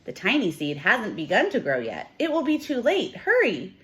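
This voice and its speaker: A woman teacher reading a picture book aloud to children, with expressive, emphatic delivery. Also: mid-range voice, normal speed.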